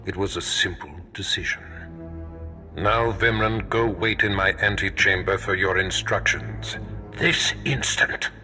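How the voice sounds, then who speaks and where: Haughty sith master in a game, low crisp forceful voice, really slow and ominous. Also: male voice, soft background music, exaggerated voice. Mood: grave, commanding. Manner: slow, imperious, ominous, formal phrasing. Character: haughty, menacing older Sith lord, scheming mentor. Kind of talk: scripted video game drama acting.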